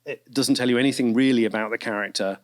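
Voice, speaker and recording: A man telling stories in a relaxed, self-deprecating way as a voice actor on a festival panel. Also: mid-range voice, fast. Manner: chatty, self-deprecating storyteller. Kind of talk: casual panel talk. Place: fine arts center stage.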